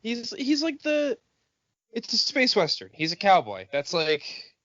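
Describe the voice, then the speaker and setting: A man with a fairly high, very animated voice banters about film as a podcast co-host. He is sarcastic and sweary, acting out bits mockingly, on a very dry recording. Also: unhurried, no echo, lo-fi sound. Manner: sarcastic, profane, mimics lines mockingly.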